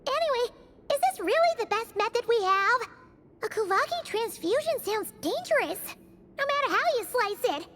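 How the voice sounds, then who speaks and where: A squeaky, excitable fairy girl chatters in a very high, wildly swooping voice. She is a small floating game companion who gets worked up fast and calls herself by name. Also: faint music behind, heavily acted. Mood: chirpy. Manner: excitable, talks about herself in third person. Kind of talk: video game cutscene voice acting.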